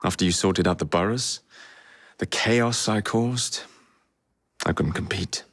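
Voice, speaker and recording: An actor voicing a cocky, wisecracking young English assassin and gang leader in a video game, flippant and sardonic. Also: male voice, lowish voice, unhurried, dry recording, studio quality. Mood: brisk, purposeful. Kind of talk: video game character dialogue.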